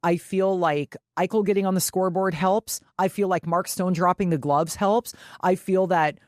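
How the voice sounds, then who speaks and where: A woman's voice: on the low side, steady tone, moderate pace, a little mellow, no room sound, studio quality. A woman co-hosting a sports podcast, talking casually and emphatically with loose swearing. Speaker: podcast co-host.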